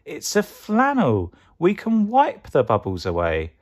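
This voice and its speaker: A man's voice: on the high side, wildly swooping pitch, measured pace, voice pushed up, full character voice. A man voicing an eager, earnest, friendly cucumber in a children's storybook.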